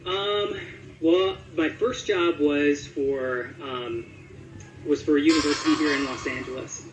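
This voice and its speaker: Higher-voiced man chatting in an echoey room. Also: a little slow. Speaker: voice actor being interviewed. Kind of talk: casual interview chat. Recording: roomy echo with music underneath.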